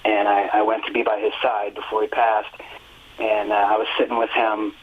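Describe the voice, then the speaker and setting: A man's voice: fairly low pitch, monotone, moderate pace, a little hiss or hum. A fifty-year-old man, a singer and music teacher, telling long earnest stories with many fillers in a phone interview. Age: 50s. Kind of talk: relaxed phone interview storytelling. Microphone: telephone. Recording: tinny phone line.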